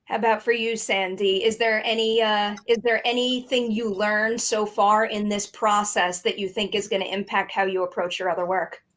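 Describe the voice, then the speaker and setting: A woman's voice: medium pitch, flat delivery, normal speed, hardly any pauses, a bit bright. A woman hosting a friendly interview in a chatty, upbeat way. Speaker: interview host.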